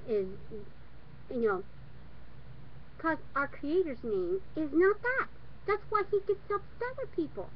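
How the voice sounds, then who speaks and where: A woman speaking earnestly and preachily to a webcam, with many restarts and fillers, and nervous about being on camera. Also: sits high. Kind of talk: unscripted religious monologue to webcam. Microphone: webcam mic. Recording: dull lo-fi webcam audio with faint hiss.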